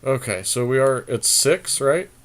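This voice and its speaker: A man's voice: medium pitch, animated, a little slow, a little hiss or hum. A man co-hosting a gaming podcast, casually talking through card game plays with friends.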